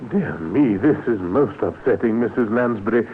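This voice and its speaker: A mild, courteous English gentleman acted by a man in a vintage radio play. His intonation is very varied, hesitant and quietly concerned. The recording is thin and tinny. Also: lowish voice, lively intonation, normal speed, a little hiss or hum, lightly acted. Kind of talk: 1950s radio drama acting.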